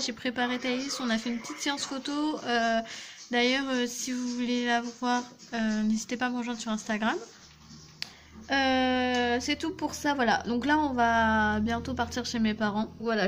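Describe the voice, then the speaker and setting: A woman's voice: on the high side, a little hiss or hum. A young woman vlogging casually at home, stuffy with a cold and hesitant, full of apologetic fillers. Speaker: family vlogger. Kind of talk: casual chatty vlog to camera.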